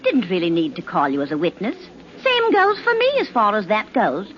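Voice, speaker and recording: A woman's voice: mid-range voice, wildly swooping pitch, moderate pace, dull audio. Nosy english neighbour lady, old radio play, voice all over the place, noisy old recording. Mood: indignant, meddling. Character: nosy, sharp-tongued English neighbour woman. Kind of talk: 1940s radio acting.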